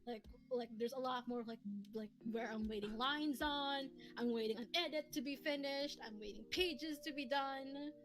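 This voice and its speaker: A woman's voice: high-pitched, normal speed, hardly any pauses. A woman hosting a casual livestream, chatting in a giggly, self-deprecating way. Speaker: streamer, channel host. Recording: music playing behind the voice. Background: background music.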